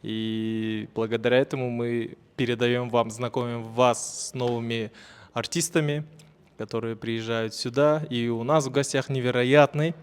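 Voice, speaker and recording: A man's voice: mid-range voice, steady tone, moderate pace, clean tone. A man hosting a podcast, introducing his guest in an upbeat way with small hesitations. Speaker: podcast host. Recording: long hall reverb.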